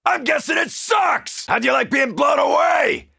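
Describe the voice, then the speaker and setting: An actor voicing a brash, cocky American soldier in a video game, gravelly and swaggering as he taunts in combat. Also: very high-pitched, unhurried, bright, forceful tone, no room sound, shouting, studio quality, exaggerated voice. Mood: fired-up, cocky. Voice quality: gravelly, rough. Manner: taunting, swaggering macho bravado.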